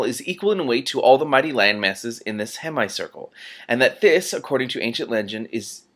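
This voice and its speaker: A man reading a fantasy novel aloud expressively, breaking off for casual reactions. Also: mid-range voice.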